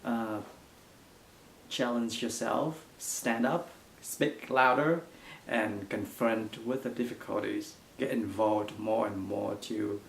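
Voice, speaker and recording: A young man from Vietnam with a Vietnamese accent, answering interview questions in halting English full of fillers. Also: on the low side, gravelly, slight background noise. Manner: halting non-native English with frequent fillers. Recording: echoey room.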